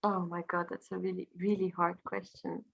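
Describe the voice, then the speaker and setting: A woman from Brussels with a Belgian French accent, a native French speaker talking casually as an interview guest in halting English, searching for words. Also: fairly low pitch, level tone, creaky, dull audio. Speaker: interview guest, singer and teacher.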